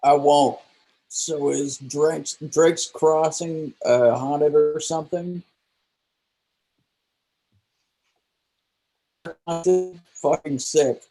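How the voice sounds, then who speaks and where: A man's voice: a little high, fairly even intonation, slow, dark tone, low-quality recording. A man playing a laid-back, slangy young redneck drug dealer in a live table read, blunt and unimpressed. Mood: amused.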